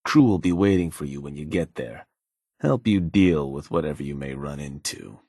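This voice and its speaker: An actor playing a sly, cynical gang advisor in a video game, with a husky, laid-back, slangy delivery and an undertone of menace. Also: male voice, lively intonation, normal speed, warm tone, no room sound, studio quality, exaggerated voice. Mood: businesslike, encouraging. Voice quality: husky, low. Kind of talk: video game character dialogue.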